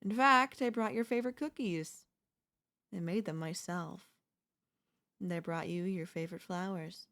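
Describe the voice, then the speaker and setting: A woman's voice: fairly low pitch, normal speed, long pauses, fairly bright tone. A young woman playing an obsessive, lovesick yandere neighbor in an intimate, intense audio roleplay. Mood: calm, quietly menacing. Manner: intimate, pleading, emotionally intense delivery. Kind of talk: ASMR audio roleplay acting.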